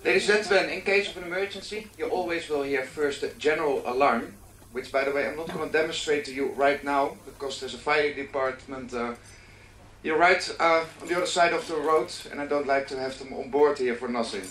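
A man's voice: on the high side, brisk, a bit bright, slight background noise. Man giving a ship safety talk. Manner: formal but joking, with hesitations. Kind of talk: public announcement to passengers. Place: large indoor dining lounge on a ship. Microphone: handheld microphone, heard from across the room. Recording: roomy, distant camcorder capture.

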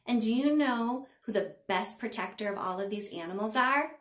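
A middle-aged woman, a teacher, doing an expressive read-aloud for young children in a small room. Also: on the high side, normal speed, roomy sound. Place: small indoor room at a table.

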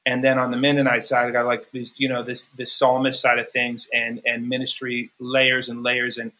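A man's voice: mid-range voice, monotone, normal speed, pushed and bright. A man from California, a musician guest on a podcast, talking earnestly and rambling with many restarts. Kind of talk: podcast interview.

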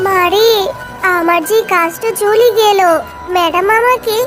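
Woman as a high-voiced, humble fox mother. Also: a little slow. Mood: pleading, worried. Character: humble, worried fox widow, caring working mother. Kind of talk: children's cartoon story dialogue. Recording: music behind the voice.

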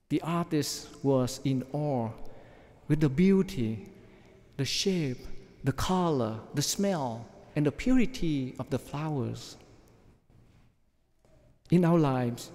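A middle-aged man, a priest, preaching a measured sermon from notes at a church lectern microphone. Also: on the high side, darkish tone. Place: large church, at the ambo. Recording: long church reverb.

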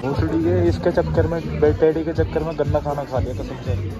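A man's voice: fairly high pitch, level tone, brisk. A young man vlogging a cafe review on a phone held at arm's length, talking in a brash, mocking, slangy way. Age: young adult. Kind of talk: casual cafe review vlog. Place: busy cafe indoors. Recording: loud music and crowd in cafe.

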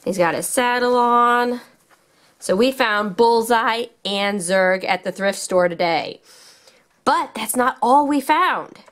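High-voiced woman, slow and enthusiastic. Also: fairly bright tone. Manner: enthusiastic, simple child-directed phrasing. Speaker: children's toy channel host. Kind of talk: casual hands-on toy showcase for children.

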